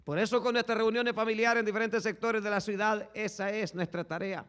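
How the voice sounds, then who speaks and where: A male pastor preaching a fervent sermon, swinging from hushed tones to shouting and repeating "brothers" as a refrain. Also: sits high, fairly even intonation, brisk, clear, resonant tone. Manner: repeats "hermanos" as a constant refrain. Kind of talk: Spanish-language sermon. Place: church sanctuary. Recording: long echo of a large hall.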